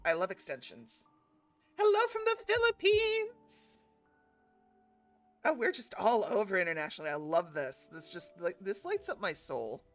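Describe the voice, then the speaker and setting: A woman's voice: mid-range voice, very animated, moderate pace, faint music behind. A young woman from California co-hosting a game stream, chatting casually and laughing often. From West Coast of California. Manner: chatty, laughing, mixes in foreign phrases. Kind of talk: casual chat.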